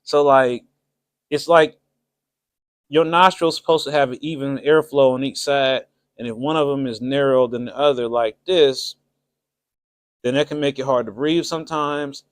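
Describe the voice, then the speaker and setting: A man's voice: mid-range voice, steady tone, moderate pace, clean tone, dry recording. A man hosting his own channel, chatting casually and jokingly to viewers in a loose, rambling way. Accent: Ohio, American. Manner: chatty, rambling, jokey with frequent laughs; shout-outs to viewers, loose colloquial speech. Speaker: channel host. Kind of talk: casual livestream monologue. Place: home setup with cameras.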